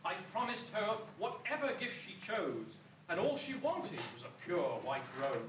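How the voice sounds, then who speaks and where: Man playing a high-pitched bumbling pantomime father, echoey. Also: wildly swooping pitch, unhurried, exaggerated voice. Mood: pleading, indignant. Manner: exclamatory, comic, theatrical asides. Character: bumbling, cheeky father lost in a fairy-tale land. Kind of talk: amateur pantomime stage acting in rhyming verse. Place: school hall stage. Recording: echoey distant stage recording, loud hiss.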